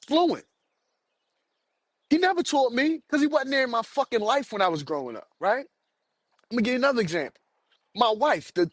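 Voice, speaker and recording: A man's voice: high-pitched, dry recording. A man hosting his own podcast, ranting heatedly and profanely, with frequent stumbles and repeated words. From United States. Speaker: podcast host. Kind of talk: solo podcast rant.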